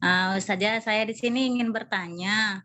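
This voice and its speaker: A woman's voice: mid-range voice, moderate pace, sharp tone, slight background noise. A woman from Indonesia with an Indonesian accent, a student informally putting a question in an online class. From Medan, Indonesia.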